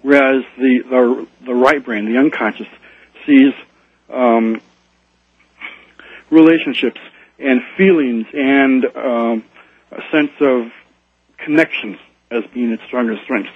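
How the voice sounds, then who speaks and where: A man appearing as a radio guest over the phone, explaining ideas in a halting way with many ums and repeated words. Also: mid-range voice, slightly rough, no room sound. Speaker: guest author. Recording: phone line, tinny and dry.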